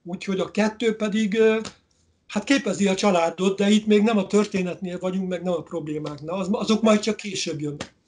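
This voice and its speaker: Slow musing older man on a video call. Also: sits high, fairly even intonation, a little mellow, slight background noise. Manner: slow, musing, searching for words. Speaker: novelist being interviewed. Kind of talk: reflective interview answers. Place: indoor room at home. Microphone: webcam on a video call.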